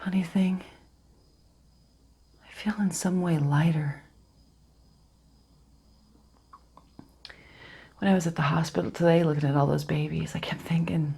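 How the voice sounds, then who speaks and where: A woman performing a dramatic stage monologue as a hurt, bitter wife confronting her husband, intense and controlled, with a breathy edge. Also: lowish voice, warm tone, exaggerated voice. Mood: quiet, sad. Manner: intense, accusing, controlled, dropping to near-whispers.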